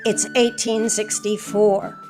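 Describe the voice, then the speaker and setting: A woman narrating an audio drama, reading stage directions in short, deadpan phrases. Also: medium pitch, slow. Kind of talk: scripted narration, present tense. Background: music.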